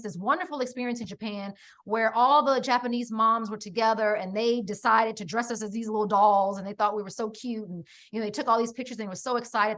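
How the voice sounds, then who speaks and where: A woman's voice: on the high side, fairly bright tone. A middle-aged American woman guest in a casual conversation, telling stories emphatically with lots of "like" and "right?". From United States. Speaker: podcast guest.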